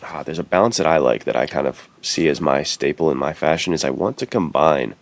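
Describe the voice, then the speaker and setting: A man with an extremely low voice that crackles with fry talks in a loose, slangy podcast style. His phrasing is bro-like and conversational, as a co-host swapping opinions. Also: a little fast. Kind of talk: casual podcast chat.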